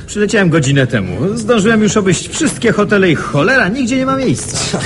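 Very animated, breezy journalist. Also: male voice, sits high, a little fast, noisy background. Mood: animated, exasperated. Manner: familiar, animated. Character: breezy, curious male Warsaw journalist, old friend. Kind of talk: 1980s Polish radio drama acting.

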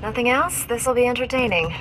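A woman's voice: fairly high pitch, lively intonation, fairly quick, noisy background, close to an everyday voice. A woman voicing a composed, dryly amused Jedi knight in a video game.

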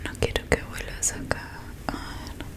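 Whispering woman, slow ASMR roleplay. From Argentina. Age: 20s. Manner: soft, slow, deliberate murmuring to one listener. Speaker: ASMR creator playing a robot repair technician. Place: small dim room indoors with curtains. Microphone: close microphone in front of her.

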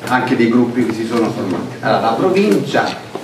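A man's voice: mid-range voice, very animated, brisk, noisy background. A middle-aged man speaking off the cuff to a local meeting, listing groups and restarting his sentences as he goes. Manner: gesturing while standing, thinking aloud with restarts and lists. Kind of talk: unscripted address to a meeting. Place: crowded indoor meeting room. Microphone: far camera, no mic. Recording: echoey room heard from far off, crowd murmur.

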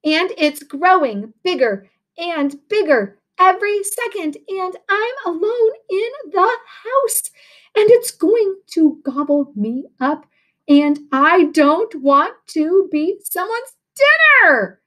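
A woman's voice: very high-pitched, big pitch swings, slow, a bit bright, voice pushed up, heavily acted, poor audio. A woman doing a shrieking, frantic schoolgirl. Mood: panicked. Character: panicked fifth-grade girl, chatterbox.

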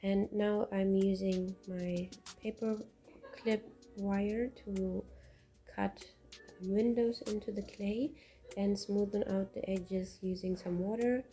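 A woman with a deep, husky voice, hoarse from a cold, explaining each step of a craft tutorial, with small slips. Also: fairly even intonation, takes it slowly, mellow, soft background music. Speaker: craft channel host.

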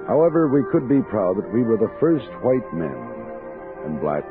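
A man's voice: mid-range voice, very animated, normal speed, natural voice. Male actor as dry army captain, plain-spoken, practical, muffled vintage radio sound, music underneath. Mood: resolute narration. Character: gruff, wry, practical American army captain on expedition. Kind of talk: 1960s radio drama narration and dialogue.

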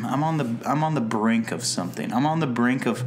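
A man co-hosting a casual podcast, reading plot notes aloud and making dry, deadpan jokes. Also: medium pitch, moderate pace, gravelly, a little hiss or hum. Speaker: podcast co-host. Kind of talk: casual chat recapping a TV episode.

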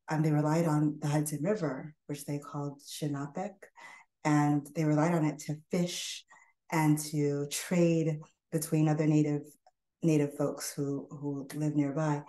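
A young woman chef chatting loosely while she cooks on a live online demo. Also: low-pitched. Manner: chatty, loose and animated, talks while cooking. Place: home kitchen. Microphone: webcam on a video call. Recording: echoey kitchen.

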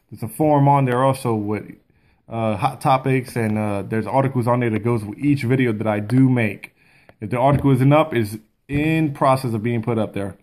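A man's voice: mid-range voice, darkish tone. A man in his thirties giving an informal, plain-spoken product review to camera, as a video host at home. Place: small furnished room at home, indoors.

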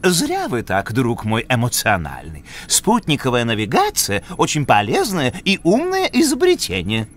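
A man's voice: medium pitch, normal speed, hardly any pauses, a little hiss or hum. A man voicing a courteous, erudite middle-aged elk scientist in a children's cartoon, with ornate, formal phrasing. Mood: courteous, chiding. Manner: ornate, formal, expressive speech. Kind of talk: children's educational cartoon acting. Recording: loud music bed behind.

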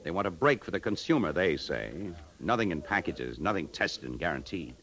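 A wry, hard-boiled advertising executive in a 1950s American radio play. His American voice is low, and he narrates and acts the lead with a cynical edge. Loud music runs underneath. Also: sing-song, a little fast. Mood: weary, guarded. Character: self-respecting, cynical advertising executive on the run.

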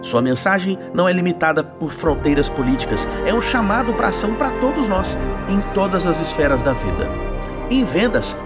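A man giving earnest, inspirational narration as a channel host, with rhetorical questions. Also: high-pitched. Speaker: channel host, sales and leadership speaker. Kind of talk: scripted motivational narration. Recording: voice-over over loud music. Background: loud background music.

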